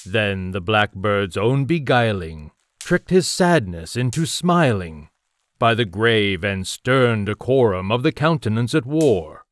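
A man playing a solemn storyteller, narrating gothic poetry in a theatrical, measured audio drama style. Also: fairly low pitch, expressive, no echo, studio quality. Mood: solemn, foreboding.

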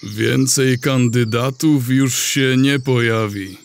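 A man playing a calm, wry wizard in a fantasy audio drama, with a dry manner. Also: medium pitch. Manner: unhurried, dry. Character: calm wry male wizard, quietly amused.

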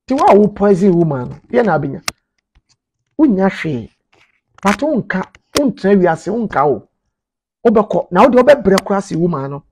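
A man's voice: sits high, very animated, normal speed, long pauses, a little hiss or hum. A man in his thirties with a Ghanaian accent, giving informal commentary to camera and emphatically repeating his phrases. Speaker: media commentator. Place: small indoor office.

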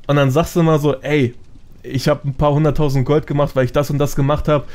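A man's voice: fairly high pitch, flat delivery, brisk, a little mellow. A man, a podcast guest explaining things in informal, slangy casual chat. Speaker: podcast guest, gaming content creator.

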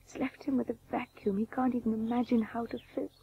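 An actress playing an older, devoted and candid wife with dry concern in a radio drama. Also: female voice, on the high side, level tone, fast talker, husky, dull audio. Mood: weary concern.

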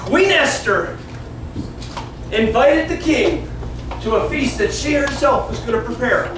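A man's voice: extremely high pitch, animated, unhurried. A man in his fifties teaching children, telling a Bible story theatrically and acting out the dialogue in simple words. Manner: theatrical, acts out dialogue, plain simple wording. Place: small classroom indoors. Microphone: camera several metres away. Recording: roomy echo, distant camera mic, room noise.

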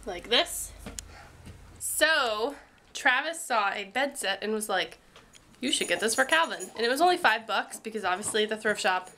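A woman's voice: fairly high pitch, normal speed. Chatty young woman with a stuffy cold. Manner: chatty, animated, rambling. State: congested from a cold. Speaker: family vlogger. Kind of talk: casual vlog to camera. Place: bedroom at home. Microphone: handheld camera at arm's length.

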